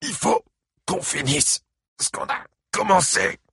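A man's voice: sits low, normal speed, creaky, fairly bright tone, breathy edge, dry recording, clean studio sound, exaggerated voice. A man voicing a furious, bitter, vengeful father who spits out harsh accusations in an audiobook novel. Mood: raging.

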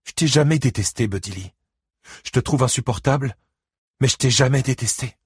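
Man acting concerned ex-wife, raised husky voice. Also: mid-range voice, brisk, dry recording, clean studio sound, pitched above the performer's own voice. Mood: exasperated. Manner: exclaiming, emotional. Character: Christine, concerned, exasperated ex-wife, a grandmother.

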